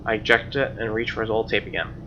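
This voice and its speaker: A man's voice: lowish voice, little pitch movement, quick pace, bright, forceful tone, a little hiss or hum. A man doing a let's play, reading visual novel narration aloud with casual commentary over game music, on a lo-fi mic.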